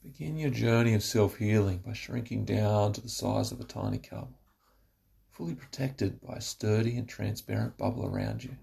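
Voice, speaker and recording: A man narrating a scripted guided relaxation, giving slow, instructive phrases with pauses. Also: on the low side, very animated, gravelly, dark tone.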